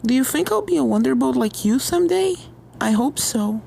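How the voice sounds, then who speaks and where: Woman voicing a high, eager little pegasus filly. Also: expressive, fairly quick, vocal fry, a little mellow, a little hiss or hum, full character voice. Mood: excited, eager. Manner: eager, childlike calling out. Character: tiny excitable young female pegasus pony.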